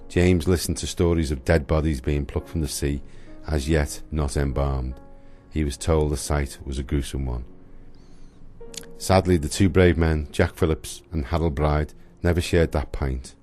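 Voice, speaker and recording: A man's voice: very deep voice, darkish tone. A man narrating a scripted radio drama as a solemn storyteller.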